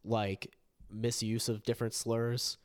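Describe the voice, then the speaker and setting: A young man hosting a casual podcast chat, animated and full of fillers, swearing when worked up. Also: medium pitch, takes it slowly, noisy background. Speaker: podcast host.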